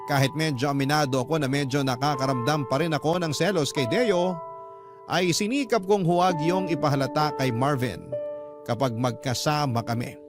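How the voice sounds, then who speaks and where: Calm male storyteller over music. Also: on the high side. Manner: calm, clear, measured reading. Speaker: storyteller reading a listener's letter. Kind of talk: calm radio-style storytelling narration. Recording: narration over background music. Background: instrumental music.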